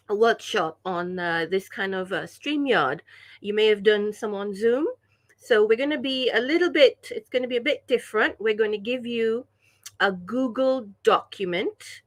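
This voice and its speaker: A woman from Pakistan leading an online language workshop over a video call, enthusiastic and chatty, often checking in with the group. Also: on the high side. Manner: enthusiastic, chatty, frequent "yeah?" check-ins. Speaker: workshop leader.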